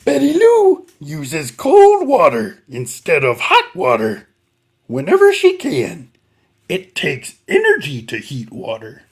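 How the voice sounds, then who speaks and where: A man voicing an excitable furry little monster puppet, reading a children's picture book in a strained, throaty voice. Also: very high voice, husky, voice pushed up, heavily acted. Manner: emphatic, swooping, deliberate reading to small children.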